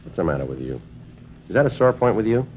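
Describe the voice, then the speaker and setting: A man playing a stern, matter-of-fact police sergeant in a 1950s radio drama, clipped and deadpan with an American accent. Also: on the low side, quick pace, slight background noise. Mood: firm questioning. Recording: muffled vintage radio recording.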